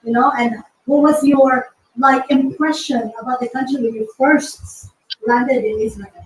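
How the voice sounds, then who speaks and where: A woman hosts an online chat with a bright, slightly high voice and an upbeat, welcoming manner. She sits back from the mic, and her voice rings around a bare, echoey room. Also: steady tone, a little slow, recorded from a distance. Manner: upbeat and welcoming, laughs often. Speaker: host. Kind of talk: online interview.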